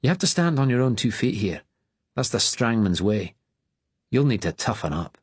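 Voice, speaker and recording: A man's voice: medium pitch, very animated, moderate pace, breathy edge, no echo, voice pushed up. Cold scottish teen prefect a man puts on, impatient and clipped, dry close voice. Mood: cold, dismissive. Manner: curt, impatient. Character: cold, stony teenage boy prefect.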